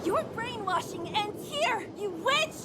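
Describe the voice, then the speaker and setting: High-pitched, brash young fighter girl. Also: lively intonation, slow pace, heavy background noise, full character voice. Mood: defiant. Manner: blunt, scrappy, emphatic. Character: brash, defiant, fiercely loyal young female fighter. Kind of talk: dramatic video game cutscene acting. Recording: loud music behind.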